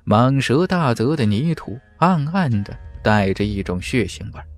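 Animated male audiobook narrator. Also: medium pitch, moderate pace. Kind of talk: dramatic scripted fantasy narration. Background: background music.

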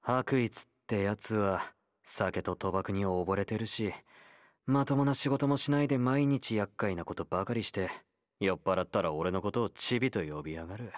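A man's voice: fairly low pitch, moderate pace, dry recording, studio quality, close to an everyday voice. A male actor playing a laid-back young convict fighter in a game, blunt, teasing and nonchalant, with a drawl. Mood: casual, wry. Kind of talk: Japanese game character voice lines.

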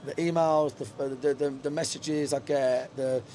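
A man's voice: medium pitch, fairly even intonation, a little slow, mellow, a little hiss or hum. A middle-aged man, a football manager, talking frankly in a post-match interview in a stadium dugout, with lots of fillers and restarts. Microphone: handheld reporter mic.

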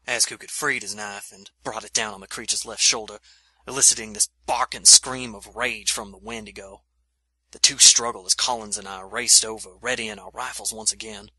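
An actor playing a shaken frontier soldier giving a grave, halting first-person account in an audio drama. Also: male voice, mid-range voice, pushed and bright, slightly rough, no echo, low-quality recording. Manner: haunted, halting, grave delivery. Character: shaken frontier soldier recounting a monster attack.

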